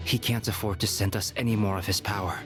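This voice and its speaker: A man's voice: on the low side, fairly even intonation, quick pace, lightly acted. An actor playing a man who gives a sober, matter-of-fact update. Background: game music.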